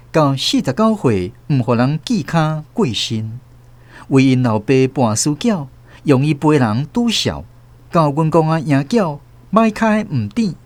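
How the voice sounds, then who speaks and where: A man's voice: fairly high pitch, no echo. An actor with a Taiwanese accent playing a reflective man narrating his family's past in a scripted radio story. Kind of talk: literary scripted first-person story narration. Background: music under the voice.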